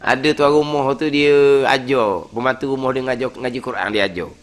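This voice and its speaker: A man, a religious teacher giving a weekly study lecture in a prayer hall, talking in a lively, conversational way with frequent "ha" fillers. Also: mid-range voice, fairly quick.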